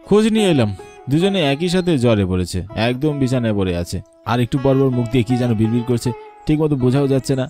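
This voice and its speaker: A man's voice: medium pitch, lively intonation, fast talker, warm tone, faint music behind, natural voice. Man as a practical, chiding grown son. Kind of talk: cartoon voice acting.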